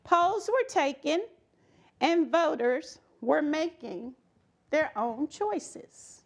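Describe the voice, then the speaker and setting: High, animated woman reading a story. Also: vocal fry. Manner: expressive, emphatic, dramatic pauses. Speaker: storyteller reading a children's book aloud.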